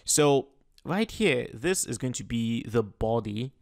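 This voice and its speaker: A man narrating a screen-recorded tutorial in an easygoing, explanatory way. His voice sits in a middle pitch, and he stumbles and restarts now and then as he walks through each setting. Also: lively intonation, slow, creaky, darkish tone. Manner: casual, explanatory, with frequent fillers and restarts. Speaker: tutorial host. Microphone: voice-over on a screen recording.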